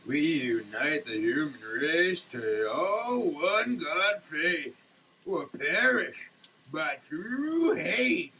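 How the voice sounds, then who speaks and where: A man's voice: fairly high pitch, sing-song, drawn-out words, pushed and bright. A man giving a dramatic reading in an emphatic, declamatory manner. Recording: distant mic, faint noise.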